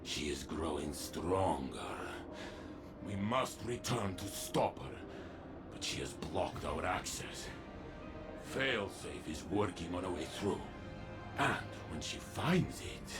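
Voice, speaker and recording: A man playing a solemn, resolute robot warrior weathered by centuries in a video game, speaking in a grave, earnest, deliberate way. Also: sits low, sing-song, full character voice. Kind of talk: video game character monologue. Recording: music under the voice.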